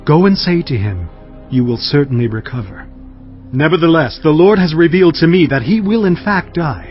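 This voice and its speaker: A man's voice: fairly high pitch, expressive, moderate pace, highs cut off, soft background music, close to an everyday voice. A man playing a solemn, foreboding prophet in a dramatized scripture audiobook.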